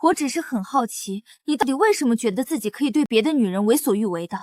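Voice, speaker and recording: An actress playing a young wife of a noble house who speaks calmly and cuttingly. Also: female voice, on the high side, dry recording, studio quality, close to an everyday voice. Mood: cold. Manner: calm, measured, cutting.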